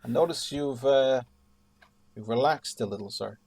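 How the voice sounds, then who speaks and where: A man's voice: medium pitch, normal speed, gravelly, a little mellow, husky. A man playing a polite, hesitant police officer in a radio play. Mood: measured, hesitant.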